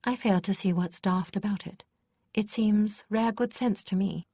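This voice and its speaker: A woman voicing a forthright noblewoman and former soldier in an audiobook, firm and sharp. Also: mid-range voice, measured pace, breathy edge, dry recording, pitched above the performer's own voice.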